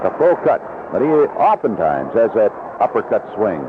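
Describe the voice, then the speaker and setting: A man's voice: on the low side, sing-song, moderate pace. A man calling baseball play-by-play on live radio in short, descriptive phrases. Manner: descriptive, short clipped calls. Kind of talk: live radio sports commentary. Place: broadcast position at an outdoor ballpark. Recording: narrow-band vintage radio broadcast, noisy.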